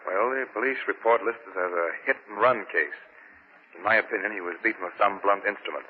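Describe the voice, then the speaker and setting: Actor as a subdued, grave old-radio doctor. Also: male voice, on the low side, quick pace, lightly acted. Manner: subdued, clinical. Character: grave, matter-of-fact male hospital doctor. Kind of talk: 1930s radio drama acting. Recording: muffled vintage 1930s radio recording.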